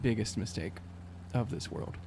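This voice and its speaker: A man playing a tabletop game, improvising in a casual, halting way as he searches for words. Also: fairly low pitch, creaky, noisy background. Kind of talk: casual improvised role-play chat.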